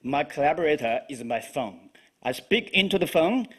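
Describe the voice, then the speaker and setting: Man, Chinese accent, poet reading aloud, halting delivery, lively swooping pitch, breathy tone. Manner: halting, with frequent fillers. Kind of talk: live bilingual poetry reading.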